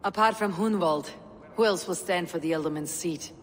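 A woman's voice: on the low side, normal speed, big-room sound, close to an everyday voice. An actress as a terse Viking warrior woman. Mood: stern, dry. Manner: terse, dry, guarded. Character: stern, blunt young female Viking warrior. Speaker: actor in a video game cutscene. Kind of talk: video game drama acting.

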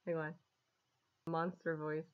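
A woman in her twenties talks casually to camera as she shows off an app, amused and giggly. Her voice sits a little low for a woman, and the sound is dull and lo-fi, like a webcam in a living room. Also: little pitch movement, slow pace, a little hiss or hum. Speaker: app reviewer. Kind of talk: casual vlog chat to camera. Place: small home living room, indoors.

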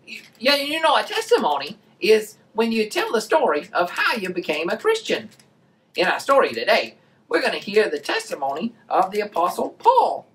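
A performer voicing an excitable, peppy puppet host who presents a children's show with exaggerated enthusiasm. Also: medium pitch, a little hiss or hum, pitched above the performer's own voice, low-quality recording.

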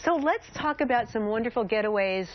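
A woman's voice: on the high side, expressive, a little fast, highs cut off, slight background noise. A middle-aged woman hosting a daytime TV interview in a chatty, enthusiastic way, on a lapel mic in a studio. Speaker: TV host.

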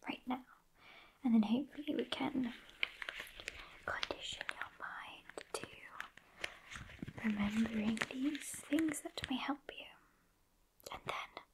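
A woman in her twenties with a British accent playing a sassy, haughty witch in a breathy, unhurried ASMR whisper, close to the microphone. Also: high-pitched, low-quality recording. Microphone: close microphone just off camera.

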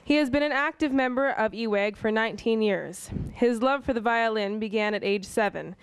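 A young woman presenting at a community meeting, reading a speaker introduction from her notes in a steady read-aloud way. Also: on the high side, normal speed, clean tone, dry recording, poor audio. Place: high school music room, small stage.

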